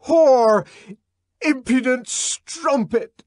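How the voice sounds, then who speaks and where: A man reading aloud and voicing a furious young man who shouts insults and rants lines of old verse in a frenzy. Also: high-pitched, animated, drawn-out words, dry recording, clean studio sound, pitched above the performer's own voice, exaggerated voice. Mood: raging. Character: young man, wild and raging, quoting verse.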